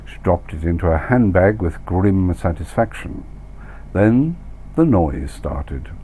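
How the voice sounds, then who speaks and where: A man reading his own short story as scripted literary narration, measured and wry, with dramatic emphasis. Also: sits low, expressive, dark tone, slight background noise.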